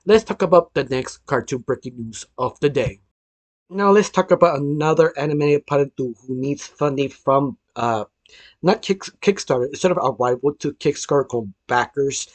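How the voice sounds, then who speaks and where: A man with a hoarse voice from illness, hosting a casual cartoon news roundup, rambling with lots of filler phrases and restarts. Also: medium pitch, moderate pace, dry recording. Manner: rambling, heavy use of "sort of", frequent restarts. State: hoarse, losing his voice from illness. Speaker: cartoon news channel host.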